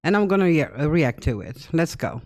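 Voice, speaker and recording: A woman's voice: low voice, big pitch swings, a little fast, darkish tone. A Dutch woman in her fifties with a Dutch accent, hosting a casual reaction video and chatting in English, often breaking in to agree. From Netherlands. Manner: chatty, thinks aloud, with frequent agreeing interjections.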